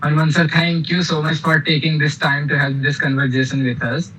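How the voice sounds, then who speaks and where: A man's voice: a little high, little pitch movement, a little fast, bright, forceful tone, slight background noise, rough recording. A young man, a student hosting a podcast, reading his lines hesitantly with many fillers. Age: young adult. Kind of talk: reading an outro and questions.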